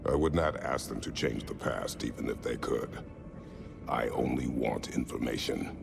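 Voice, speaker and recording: A man playing a stern, battle-worn middle-aged warrior god in a video game, speaking in terse, grave statements with a gravelly voice. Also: moderate pace, music in the background, full character voice. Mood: resolute. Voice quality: gravelly, very deep. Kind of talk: cinematic video game acting.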